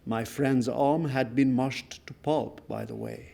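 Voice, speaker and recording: A man giving a dramatic literary reading of a first-person account, solemn and deliberate, with weighty pauses. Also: medium pitch, huge pitch swings, gravelly, dark tone. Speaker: reader at a book launch.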